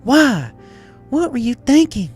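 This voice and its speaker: A woman voicing a blunt, protective female farm pony with a folksy, forceful, exasperated manner in a story reading. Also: high-pitched, very animated, takes it slowly, slightly rough, faint music behind, voice pushed up, heavily acted. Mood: angry, accusing. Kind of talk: dramatized fan fiction reading.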